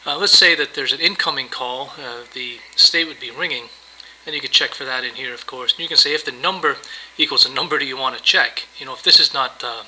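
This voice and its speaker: A man with a very bright, forceful voice at a middling pitch walks through a software demo. He explains things casually, one step at a time, as in an unscripted screencast. Some background noise sits behind the voice. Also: a little fast, hardly any pauses, poor audio. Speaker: software tutorial presenter. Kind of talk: screencast demo narration, semi-improvised walkthrough.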